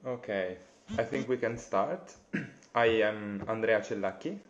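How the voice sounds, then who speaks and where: A young man with an Italian accent, hosting a live interview over a video call, friendly and halting, with many fillers. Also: fairly low pitch, slight background noise. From Italy. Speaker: interviewer, bassoonist. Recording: dull lo-fi video call audio.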